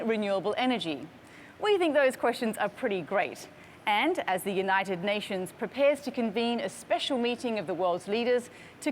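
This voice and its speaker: Woman host, scripted presenting, a touch high-pitched, light hiss behind. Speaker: host of a broadcast.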